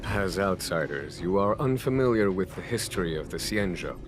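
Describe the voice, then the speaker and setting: An actor playing a calm, wry military general in a video game, speaking in a measured, formal and courteous way. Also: male voice, deep voice, background noise. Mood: solemn storytelling. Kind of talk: scripted video game dialogue. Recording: background music under the voice.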